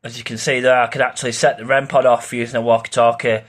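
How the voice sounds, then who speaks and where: Man, informal video host, rambling explainer, medium-pitched voice, frequent conversational tags. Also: level tone, fast talker, a bit bright. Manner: rambling, repeats "yeah" and "obviously" as tags. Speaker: paranormal investigator and video host.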